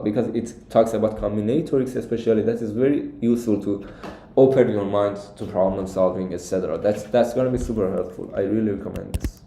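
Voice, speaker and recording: A young man from Iran with an Iranian accent answers viewers' questions casually on a live stream as a coach, often repeating words haltingly. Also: on the low side, background noise. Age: young adult. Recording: echoey, roomy sound.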